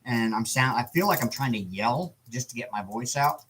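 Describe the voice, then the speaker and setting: A man with a hoarse, worn voice hosting a casual live chat stream, relaxed and talkative. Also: medium pitch, moderate pace. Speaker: livestream host.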